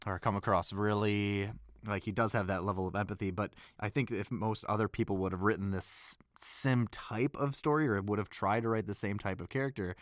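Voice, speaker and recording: Man, very low voice, dry close sound, casual rambling talk. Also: no echo. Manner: loose and rambling, many "like" fillers. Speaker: voice actor. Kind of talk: casual behind-the-scenes chat.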